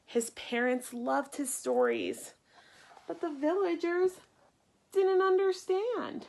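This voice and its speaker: A woman reading a picture book aloud for children in an expressive, deliberate way, acting out the story. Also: very high-pitched. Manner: animated, deliberate, acts out sound effects. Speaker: storybook reader.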